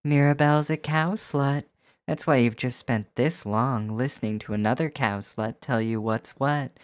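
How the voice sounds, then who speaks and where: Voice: mid-range voice, normal speed, no room sound. An adult performer delivering a scripted erotic hypnosis monologue in a coaxing, teasing, rhythmic way, speaking straight to the listener. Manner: coaxing, teasing, with rhyming, repetitive phrasing.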